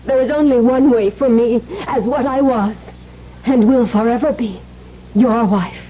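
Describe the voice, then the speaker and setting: An actress playing a possessive, ruthless ghostly mistress, commanding and intense in a 1970s radio drama. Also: female voice, fairly high pitch, a little slow, close to an everyday voice. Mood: cold, demanding. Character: possessive, ruthless spectral mistress demanding to be bride. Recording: dull, noisy vintage radio recording.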